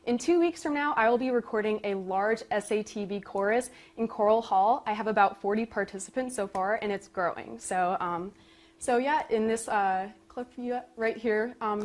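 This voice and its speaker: A woman's voice: medium pitch, moderate pace. Young woman presenting a student project. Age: young adult. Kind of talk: live spoken presentation.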